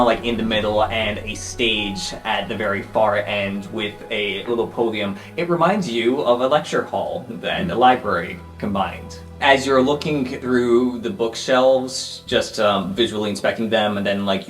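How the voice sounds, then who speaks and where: A man narrating a tabletop game as game master, improvising scene descriptions in a halting way. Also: on the low side, a bit bright. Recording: instrumental music under the voice.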